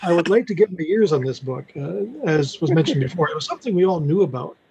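A man speaking casually as a book club member, in a deliberate and halting way. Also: fairly high pitch, expressive, noisy background. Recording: dull conference call audio.

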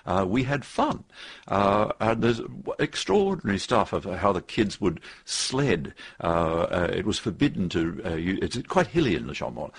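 An older man telling historical anecdotes in an interview, in a halting but emphatic, conversational way. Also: on the low side, sing-song, vocal fry, slightly rough. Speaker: author interviewed about his history book.

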